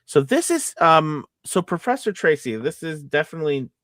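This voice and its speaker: A man hosting a casual livestream discussion, often repeating words as he thinks out loud. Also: on the high side, sing-song, moderate pace, no room sound, studio quality. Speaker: livestream host.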